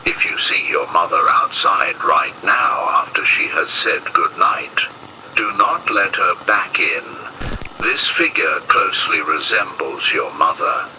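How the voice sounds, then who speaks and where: A man performs a solemn emergency announcer in an analog horror broadcast. His voice is very deep and slow, with an ominous weight to the measured delivery. It comes through with clear noise, like a lo-fi radio warning. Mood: grave, official.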